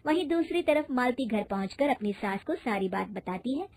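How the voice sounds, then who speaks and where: A woman's voice: on the high side, expressive, normal speed, darkish tone, dry recording. A woman narrating a scripted moral story as a storyteller.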